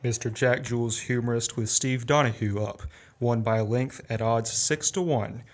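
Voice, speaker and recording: A man's voice: medium pitch, fairly even intonation, normal speed, close to an everyday voice. Precise, proud memory-act showman, played by a young man. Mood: proud, exact. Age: young adult. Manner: formal recitation, crisply factual. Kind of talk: stagey radio play acting.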